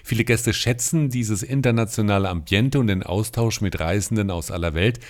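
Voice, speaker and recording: Tiefe Männerstimme eines Podcast-Moderators. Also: male voice, on the low side, moderate pace. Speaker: podcast host. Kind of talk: scripted interview podcast. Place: audio studio.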